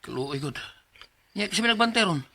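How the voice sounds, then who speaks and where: A man's voice: high-pitched, expressive, moderate pace, bright, forceful tone, heavily acted. A man acting a generous, sympathetic workmate in a Bisaya radio drama, talking colloquially and insistently with a Filipino Bisaya accent.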